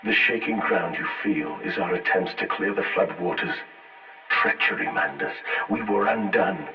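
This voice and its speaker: A grave machine intelligence with a very low voice. It speaks in portentous, archaic phrases and coaxes like a sinister master. The sound is filtered like an old radio, distant and echoing, with music behind it. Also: male voice, moderate pace. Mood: grave, coaxing. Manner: portentous, formal. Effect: radio or telephone filter. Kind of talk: scripted game voice acting, archaic diction. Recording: tinny band-limited line, echoing, distant.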